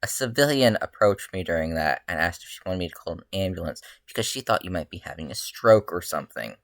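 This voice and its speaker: A worn-out, middle-aged male hero, voiced by a story reader, grumbles in a deadpan. The delivery is flat and low, with a dry, close sound. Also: fast talker, dry recording. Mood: flat, exasperated.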